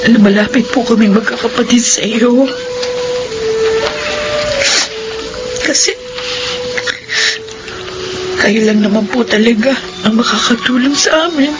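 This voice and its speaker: A woman's voice: on the high side, very noisy, lightly acted. A woman with a Filipino accent playing a burdened, grieving eldest sister in a radio drama, speaking in an emotional, pleading way. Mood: pleading, tearful. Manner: slow, pleading, emotional delivery.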